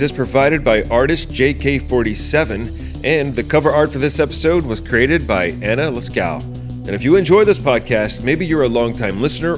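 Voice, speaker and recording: Male podcast host over music. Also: medium pitch. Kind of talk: podcast hosting and sign-off.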